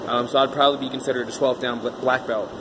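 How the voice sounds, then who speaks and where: A man tells his own story in a loose, rambling monologue, often stopping for an um. His pitch sits in a middle range. A clear air-conditioner hum runs under his voice throughout. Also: level tone, fast talker. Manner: rambling, many um fillers. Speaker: vlogger interviewing himself. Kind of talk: casual self-interview monologue.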